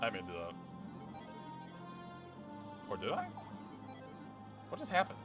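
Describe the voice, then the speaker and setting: A man's voice: lowish voice, wildly swooping pitch, measured pace. A young man casually commenting on his gameplay, thinking aloud, swearing and chuckling often. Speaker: game streamer. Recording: game music behind.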